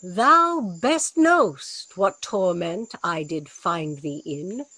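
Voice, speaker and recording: Woman doing bossy sorceress master in a shakespeare audio play, theatrical, big pitch swings, really dry close sound. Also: expressive, pushed and bright, no echo, exaggerated voice. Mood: angry, scolding. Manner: theatrical, deliberate, scolding. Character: commanding, imperious sorceress master, quick to scold. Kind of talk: Shakespearean radio play acting.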